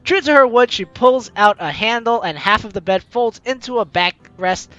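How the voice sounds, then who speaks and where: A woman's voice: on the high side, moderate pace, fairly bright tone, dull audio, soft background music. A young woman reading a visual novel aloud as a gaming host, with theatrical, teasing asides. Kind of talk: animated let's-play read-aloud and commentary.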